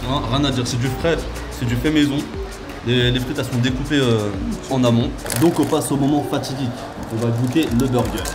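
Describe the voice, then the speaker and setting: A man's voice: mid-range voice, brisk. A man chatting casually as he reviews food, repeating phrases for emphasis. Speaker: vlog host tasting food. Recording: roomy echo, music over voice. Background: music.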